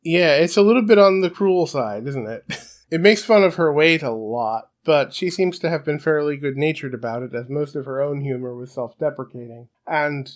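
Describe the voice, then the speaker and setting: A man in his thirties with a high, boyish voice, hosting a casual solo video in a chatty, self-deprecating way. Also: moderate pace. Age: 30s. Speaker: puppeteer host.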